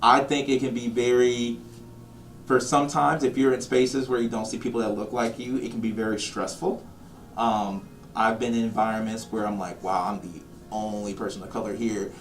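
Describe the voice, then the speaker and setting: A man's voice: mid-range voice, level tone, normal speed. A man talking reflectively and conversationally in an interview. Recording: echoey room, slightly distant mic. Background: background music.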